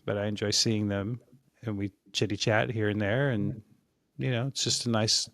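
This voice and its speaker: A man in his sixties, a podcast guest, talking in a relaxed, rambling way about his fitness habits, often restarting his sentences. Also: fairly low pitch, moderate pace, no echo, clean studio sound. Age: 60s. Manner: rambling and reflective, with frequent restarts. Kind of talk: casual interview chat.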